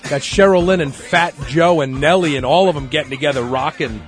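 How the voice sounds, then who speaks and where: A man's voice: a little high, fairly quick, faint music behind. A man in his fifties hosting a radio show, chatting and joking with his guest and often restarting sentences. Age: 50s. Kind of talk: casual interview chat.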